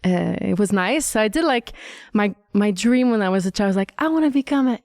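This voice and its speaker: A woman's voice: medium pitch, lively intonation, fairly quick, darkish tone, no room sound, studio quality. A young adult woman from Montreal with a French Canadian accent, a singer and vocal coach chatting as a podcast guest, laughing often and restarting her sentences. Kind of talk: casual podcast interview.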